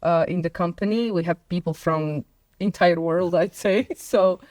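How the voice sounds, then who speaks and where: Croatian-born woman chatting as a guest, low voice, lots of ums, dead dry sound. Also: moderate pace, darkish tone, no room sound. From Croatia and Bosnia and Herzegovina. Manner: hesitant, many fillers, laughs between points. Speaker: podcast guest. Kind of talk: casual conversation.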